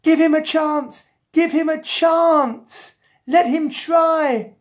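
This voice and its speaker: Woman acting a high, distraught storybook mother. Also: steady tone, slow pace. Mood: pleading. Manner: dramatic, wailing, insistent. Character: distraught, indignant mother pleading for her children.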